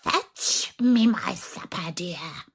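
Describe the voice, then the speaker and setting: An old witch from a scary storybook, acted out by a woman, speaks very slowly in a breathy rasp. The pitch slides widely as sweet grandmotherly words carry a sly menace. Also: expressive, heavily acted. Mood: gloating. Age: older. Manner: slow, drawn-out, mock-kindly threats. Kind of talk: storybook character acting.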